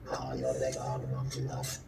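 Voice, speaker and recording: A man performing an ominous creature that lures listeners toward madness in slow, theatrical singing. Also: a bass voice, sing-song, full character voice. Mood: ominous, luring. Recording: distant, cavernous reverb, heavy noise.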